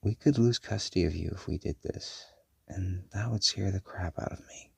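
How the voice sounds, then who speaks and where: Man playing a deep, gravelly, protective werewolf dad. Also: dry recording, full character voice. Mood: serious, protective. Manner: measured, parental, gently firm. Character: firm, protective werewolf father, caring but stern. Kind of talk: audio roleplay acting addressed to a child.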